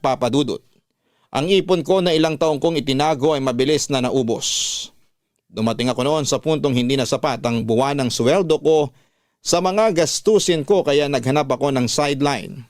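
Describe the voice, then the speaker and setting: A man's voice: mid-range voice, no echo, studio quality, lightly acted. A man narrating a radio drama in the first person as a betrayed single mother, with sorrowful, dramatic storytelling. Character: betrayed single mother recounting her own hardship.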